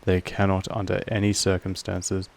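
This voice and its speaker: A man playing a possessive vampire master in an intimate audio roleplay, speaking formally in a measured, softly menacing way with a gravelly creak. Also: deep voice, completely flat delivery. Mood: calm, instructive. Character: possessive male vampire master, calm, commanding, quietly affectionate.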